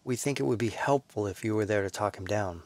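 An actor playing a calm, earnest researcher who explains things helpfully in an audio drama. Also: male voice, fairly low pitch, fast talker, no room sound, natural voice. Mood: earnest, concerned.

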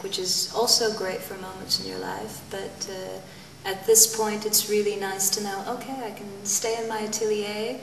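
Woman, forties, painter, unhurried and reflective, distant mic, roomy reverb, faint room hum. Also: medium pitch, vocal fry. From United States. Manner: musing and unhurried, laughs often. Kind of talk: relaxed interview talk. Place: her studio. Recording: echoey studio, mic far off.

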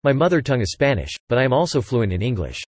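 A man reads English example sentences one after another at a brisk clip, evenly and without flourish. His voice sits at a middle pitch, and the sound is completely dry with no room around it. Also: dry recording. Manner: even, clipped reading at high speed. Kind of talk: scripted sentence reading for English listening practice.

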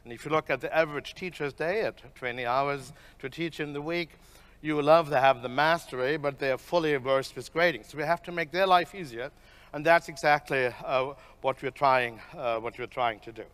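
A man's voice: fairly high pitch, normal speed, a bit bright, clean tone. A middle-aged man presenting a keynote talk on a conference stage as a professor, speaking into a headset mic. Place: large conference stage, indoors.

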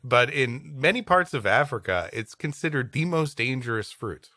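Bright-voiced man reading with comic asides. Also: medium pitch, animated, moderate pace, no room sound. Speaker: podcast co-host reading an old story.

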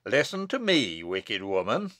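A man's voice: lowish voice, wildly swooping pitch, measured pace, fairly bright tone, dry recording, clean studio sound, pitched below the performer's own voice, heavily acted. A man voicing a stern, wrathful abbot in solemn, declamatory archaic speech for a storybook reading. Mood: prophetic, ominous. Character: stern, wrathful abbot pronouncing a prophetic curse.

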